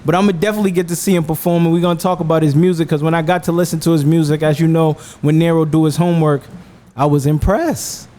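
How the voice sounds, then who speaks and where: A man's voice: on the high side, fairly even intonation, fast, mellow. A man hosting a casual interview, upbeat and full of affirmations as he hypes his guest. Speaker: podcast host.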